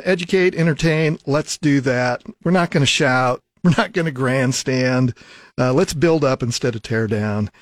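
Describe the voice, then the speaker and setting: A man's voice: mid-range voice, dry recording. A man hosting a radio talk show in a studio, easygoing, with many fillers and restarts. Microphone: studio microphone.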